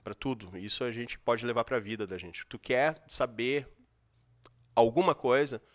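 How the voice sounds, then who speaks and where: A man's voice: medium pitch, measured pace. A man explaining his work as a guest, reflective and asking rhetorical questions. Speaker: guest expert. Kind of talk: explanatory interview talk.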